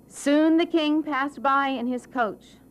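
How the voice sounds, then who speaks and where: A woman storyteller giving an expressive, deliberate scripted narration of a children's fairy tale. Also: high-pitched.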